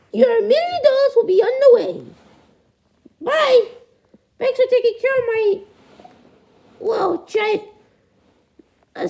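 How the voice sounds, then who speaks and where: A woman voicing a flustered, excitable mother duck who exclaims a lot in a comedic cartoon skit. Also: very high-pitched, creaky, pitched above the performer's own voice, exaggerated voice. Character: flustered mother duck collecting her overgrown duckling son.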